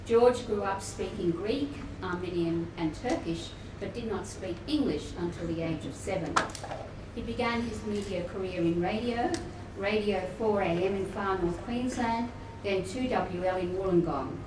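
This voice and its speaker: A woman welcoming guests at a luncheon, formal but with warm chatty asides. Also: medium pitch, normal speed, few pauses. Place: function room at a luncheon event. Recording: echoey room, distant mic, noisy.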